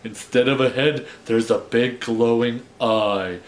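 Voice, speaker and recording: A man's voice: medium pitch, steady tone, takes it slowly, reverberant, natural voice. Man acting an ominous, dramatic monster boy. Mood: ominous warning. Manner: ominous, shuddering exaggeration. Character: dramatic monster boy, Frankenstein's son, spreading dread.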